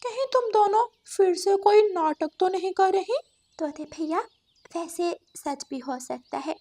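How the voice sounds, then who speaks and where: A woman's voice: very high-pitched, a little slow, warm tone, voice pushed up. A woman voicing a wary, suspicious forest bird in a children's cartoon, questioning and challenging. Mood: doubtful. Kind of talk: Hindi children's cartoon story acting.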